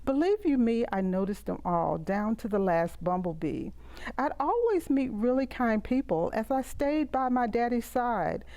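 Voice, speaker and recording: Female poet, middle-aged, Southern US accent, warm mellow tone, reading and introducing her own work. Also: a little high, big pitch swings, unhurried, poor audio. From Mississippi, United States. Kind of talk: poetry recital with spoken introductions.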